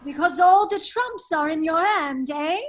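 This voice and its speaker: A woman's voice: very high-pitched, a little slow. A woman playing a foppish, cunning nobleman in an amateur radio play, with a teasing, hesitant drawl. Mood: sly, teasing. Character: foppish, laughing English nobleman, a cunning hero in disguise. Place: remote virtual table read.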